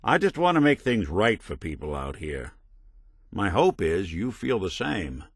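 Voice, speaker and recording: An actor playing a world-weary, principled middle-aged synthetic detective in a video game, American, with a wry, laconic, folksy manner. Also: male voice, sits low, sing-song, a little slow, slightly rough, dry recording, clean studio sound, heavily acted. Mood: earnest, mildly reproachful. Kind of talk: video game character dialogue, old-time detective manner.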